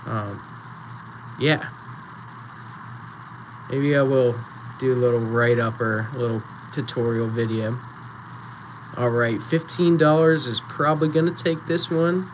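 Slow-talking man running a live auction. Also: mid-range voice, a little hiss or hum, rough recording. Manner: slow, relaxed, chatty, counting down bids. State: voice going raspy. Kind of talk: live-stream auction chat. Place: small indoor photo booth setup.

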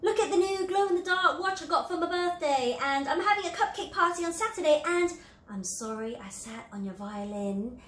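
A woman's voice: extremely high pitch, expressive, normal speed, hardly any pauses, far from the mic, pitched above the performer's own voice. A woman voicing a boastful, self-centred little storybook chicken with a showy, bragging manner. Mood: boastful, excited. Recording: roomy echo, light background noise.

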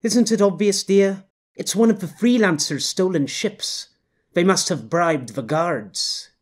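A man's voice: high-pitched, lively intonation, a little slow, pitched above the performer's own voice. A man voicing a sardonic middle-aged woman who watches from the crowd, speaking in a drawling, mock-sweet way. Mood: snide.

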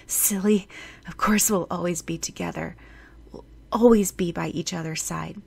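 A woman voicing a seven-year-old boy who is protective of his twin, speaking in a gentle, reassuring way. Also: lowish voice, steady tone, moderate pace, gravelly, husky, heavily acted.

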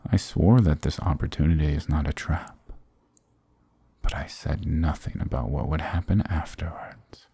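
Casting: male actor for an arrogant demon king in ASMR roleplay, extremely low, dark mellow voice, sardonic and condescending. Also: vocal fry, husky, no room sound, full character voice. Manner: sardonic, taunting, condescending, theatrical.

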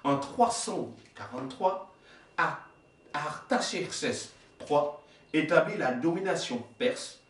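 A fairly high-voiced man in his forties preaches with emphasis, asking rhetorical questions and correcting himself now and then. His voice rings through a small, echoey room. He sounds a little distant from the microphone. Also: sing-song, slow. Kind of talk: sermon reading and explaining texts. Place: small indoor worship room.

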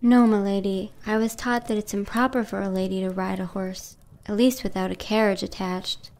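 Actress as a prim, obedient young highborn girl. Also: little pitch movement, normal speed, darkish tone, close to an everyday voice. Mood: meek. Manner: meek, proper. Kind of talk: fantasy audio drama acting.

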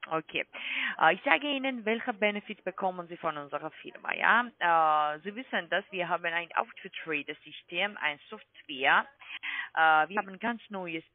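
A woman with a foreign accent in German, a non-native speaker, making an insistent scripted sales pitch as a call-centre agent, with repeated tag questions. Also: lively intonation, normal speed, dry recording. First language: not German. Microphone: phone call. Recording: muffled tinny phone line.

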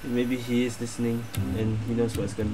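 A man's voice: mid-range voice, little pitch movement, a little fast, low-quality recording. A man giving casual playthrough commentary as a gaming streamer, musing aloud. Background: game music.

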